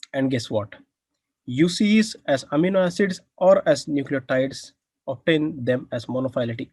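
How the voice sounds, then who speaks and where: A man's voice: mid-range voice, a little mellow, no room sound. Indian-accented man presenting research. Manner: many "uh" fillers, enthusiastic about findings. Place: indoors, air-conditioned room.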